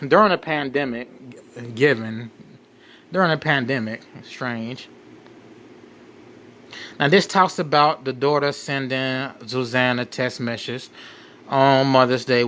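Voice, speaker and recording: A man hosting a show, reading a document aloud with off-the-cuff commentary in a halting way, repeating and rephrasing as he goes. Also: medium pitch, fairly bright tone, a little hiss or hum. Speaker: late night show host.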